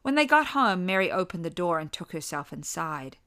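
Low-pitched woman narrating a dramatic story. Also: big pitch swings, normal speed, fairly bright tone, clear, resonant tone. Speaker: author and narrator.

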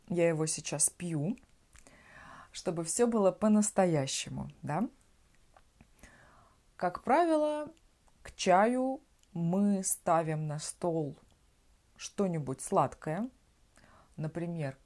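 A woman from Russia hosting a podcast, explaining words slowly and simply and often checking in with the listener. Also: lowish voice, a little mellow. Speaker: podcast host teaching Russian. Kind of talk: slow conversational language lesson.

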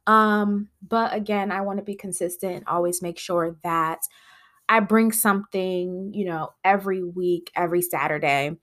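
A woman's voice: on the low side, fairly even intonation, moderate pace, a bit bright. A woman hosting her own podcast in casual, rambling chat, her voice nasal from congested sinuses. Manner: chatty, rambling with frequent fillers. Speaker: podcast host.

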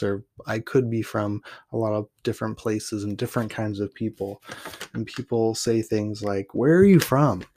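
Relaxed, low-voiced man musing on his roots. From Portland, Oregon. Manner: loose and reflective, with many fillers and restarts; reads his poem with emphatic rising lists. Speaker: podcast host and poet. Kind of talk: casual talk and poetry reading.

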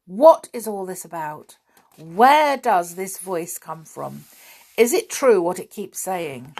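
A woman's voice: fairly low pitch, lively intonation, takes it slowly, darkish tone, breathy edge, full character voice. A woman voicing a stern, angry father in a storybook tale, scolding firmly. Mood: demanding.

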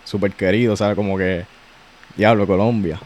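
A man with a Puerto Rican accent hosting a podcast, chatting casually in a slangy, relaxed way with frequent restarts. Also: on the low side, moderate pace. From Puerto Rico. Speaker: podcast host.

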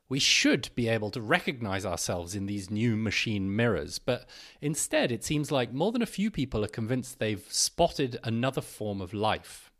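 Man narrating with very lively intonation. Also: medium pitch. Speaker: podcast host reading an article aloud. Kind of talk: scripted narration, read-aloud article with personal introduction.

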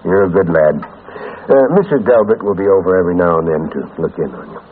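A man playing a kindly, grave small-town doctor, measured and folksy, in a 1940s radio drama. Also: sits low, animated. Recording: tinny, noisy old radio recording.

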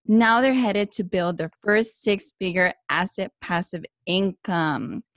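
A woman's voice: mid-range voice, slow, fairly bright tone, dry recording, studio quality. A woman hosting her own interview podcast, opening with a friendly scripted intro and then asking questions. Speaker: podcast host.

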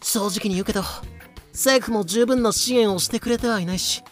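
A man's voice: high-pitched, a little fast, faint music behind, voice pushed up, lightly acted. A man voicing a shrewd colonial governor in an audio drama, casual, persuasive and a little condescending. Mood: smooth, businesslike.

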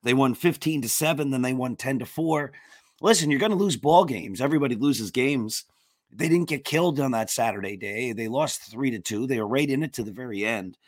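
Hoarse, upbeat sports podcast host. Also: male voice, mid-range voice, no echo, clean studio sound. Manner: talkative, upbeat, jumps between topics. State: losing his voice. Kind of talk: casual sports talk. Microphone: remote call.

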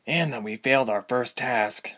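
A man's voice: mid-range voice, moderate pace, pushed and bright. A man voicing an earnest, anxious young woman adventurer in a fantasy storybook. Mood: sheepish, frustrated.

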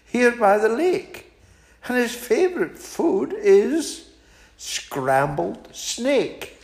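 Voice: mid-range voice, very animated, drawn-out words, gravelly, pitched above the performer's own voice, heavily acted. A reader doing a plucky little storybook mouse. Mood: polite boastful. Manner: bright, polite, boasting. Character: small brave clever mouse. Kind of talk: children's story reading.

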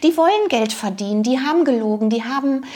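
Casting: woman with a slightly high voice, informal personal storytelling, halting delivery as she searches for words. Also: expressive, mellow. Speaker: designer telling a personal story.

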